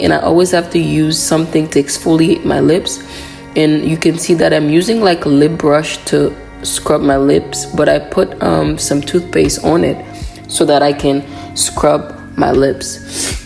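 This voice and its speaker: A woman narrating a voiceover for her morning routine vlog, hoarse from a cold, chatty and relaxed with frequent "um"s. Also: sits low. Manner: relaxed, chatty, many "um" fillers. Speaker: vlogger.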